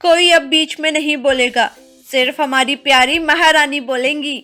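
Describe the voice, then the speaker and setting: A woman voicing a cheeky, teasing jungle animal in an animated fable. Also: high voice, normal speed. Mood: eager. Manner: brash, teasing.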